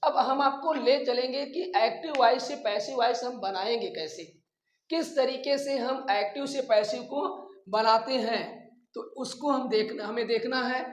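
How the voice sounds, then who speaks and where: A man teaching a grammar lesson, explaining rules deliberately and repeating his phrases. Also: extremely high pitch. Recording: echoey room sound.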